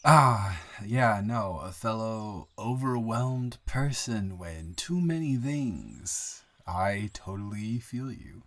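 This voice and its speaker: A friendly young man played by a male actor talks in a fairly low voice, as if to a close friend. He is conversational and halting, rambling and correcting himself in the loose, improvised way of an intimate roleplay audio. He comes across as an easygoing, grateful newcomer. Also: expressive, a bit bright, full character voice. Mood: reassuring, relaxed. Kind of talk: improvised first-person roleplay audio, casual chat. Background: bird and outdoor ambience sound effects.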